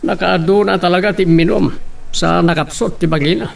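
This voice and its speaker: A man's voice: high voice, fairly even intonation, normal speed, creaky. A man with a Filipino accent playing a father who runs a company, conversational and authoritative, in an audio drama. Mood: paternal. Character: father and company boss, steady, paternal.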